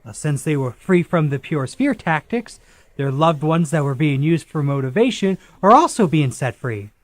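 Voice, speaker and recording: A man as a high-pitched nervous sidekick. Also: animated, moderate pace, dry recording, close to an everyday voice. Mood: eager explaining. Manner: hesitant, over-explaining. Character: nervous, chatty techie sidekick of a space hero. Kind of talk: comic audio drama acting.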